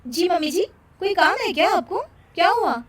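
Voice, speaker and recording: A woman puts on a young working daughter-in-law for a cartoon moral tale. Her voice is high and emphatic, with a huffy, defensive, harried edge. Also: expressive, normal speed. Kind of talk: cartoon moral story acting.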